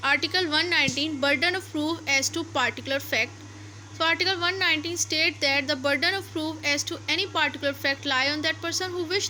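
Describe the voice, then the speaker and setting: High, bright-voiced woman lecturing. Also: a little hiss or hum, rough recording. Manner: didactic, repeats and rephrases points. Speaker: law lecturer. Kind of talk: explanatory lecture, reading statutes aloud then explaining.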